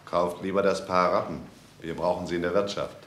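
A contemptuous castle steward in a radio play. His voice is very deep and gruff, full of scorn, and rings in an echoey space. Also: little pitch movement, fairly quick, a bit bright. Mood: calculating. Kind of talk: German radio play acting.

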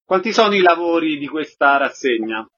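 Higher-pitched, husky male radio interviewer. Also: lively intonation, moderate pace, a bit bright. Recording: slightly dull lo-fi radio sound.

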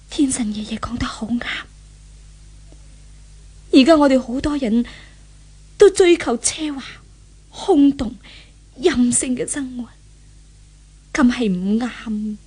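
A woman's voice: sits high, slow, pauses often, mellow, breathy edge, full character voice. A woman playing an earnest, repentant married lady of a well-off house in a 1970s Hong Kong Cantonese radio drama, in a theatrical manner. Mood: pensive resolved.

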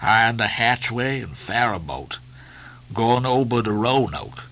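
A man's voice: mid-range voice, expressive, takes it slowly, a bit bright, low-quality recording. A man voicing a sullen ninety-year-old ship's cook in a novel, muttering and growling in grudging dialect. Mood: testy.

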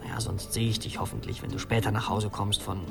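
An actor playing a let-down, hesitant, earnest boyfriend leaving a phone message in a radio play. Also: male voice, lowish voice, flat delivery, fairly quick, creaky, loud music behind the voice. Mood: let-down, tender. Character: let-down, hesitant boyfriend waiting for his love.

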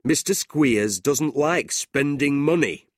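A narrator voicing a big, blunt, loud country man in a storybook, speaking slowly and emphatically. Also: medium pitch, lively intonation, dry recording, clean studio sound, pitched above the performer's own voice. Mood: mocking amusement.

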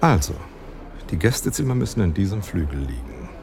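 An actor playing an arrogant, sharp-witted male detective in a German radio play, muttering precisely to himself. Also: low-pitched, sing-song, a little fast, soft background music. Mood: smug, searching.

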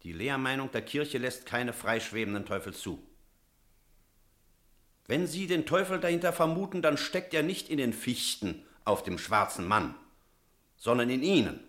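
An actor playing an energetic, rational village priest in a German radio drama, speaking in a decisive, emphatic way. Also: male voice, mid-range voice, normal speed. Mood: stern, reasoning. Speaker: character in a radio play.

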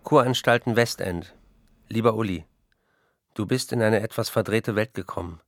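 An actor playing a troubled male writer, reading his letters in a measured, confiding, literary manner for a documentary. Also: on the low side, dry recording, clean studio sound, lightly acted. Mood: reflective, resigned.

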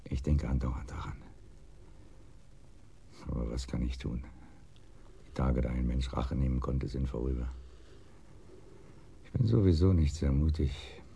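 An actor playing a timid, bitter betrayed husband in a radio crime drama, speaking haltingly and heavily, with hushed trailing phrases. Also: male voice, extremely low pitch, fairly even intonation, vocal fry, a little hiss or hum, exaggerated voice. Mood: brooding, resigned. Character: broken, bitter cuckolded husband, timid and brooding.

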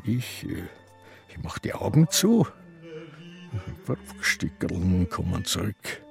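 Halting, creaky old Austrian man. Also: low-pitched, big pitch swings, soft background music, exaggerated voice. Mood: wistful, confused. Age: elderly. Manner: halting, ruminative. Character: confused, reminiscing old Viennese man. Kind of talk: radio drama monologue.

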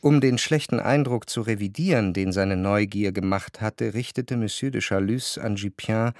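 An actor playing a reflective narrator in a literary radio drama, wry and deliberate in his phrasing. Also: lowish voice, darkish tone, dry recording, studio quality, lightly acted. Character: reflective, wry male narrator recalling what he spied. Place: dry studio.

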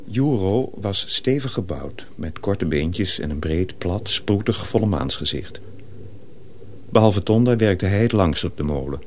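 An actor narrating a tale in a radio play, in a measured, scripted storybook manner. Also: male voice, sits low, expressive, a little mellow. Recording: faint noise on old radio recording.

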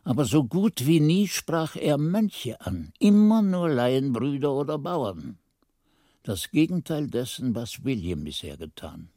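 Mellow monk narrator, expressive. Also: male voice, medium pitch, dry recording, studio quality. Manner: literary, measured storytelling. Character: male monk-pupil recounting his master's investigation. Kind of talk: scripted radio play narration.

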